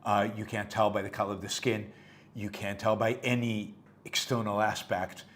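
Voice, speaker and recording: A man's voice: on the low side, level tone, normal speed, fairly bright tone. A middle-aged man hosting his own show, giving unscripted solo commentary in an emphatic way, repeating words for stress with many fillers and restarts. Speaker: podcast host.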